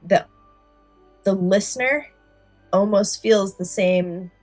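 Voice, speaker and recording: A woman's voice: medium pitch, takes it slowly, a little mellow, no room sound, faint music behind. A woman co-hosting a podcast, talking casually in an analytical, articulate way. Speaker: podcast co-host. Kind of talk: casual conversational discussion.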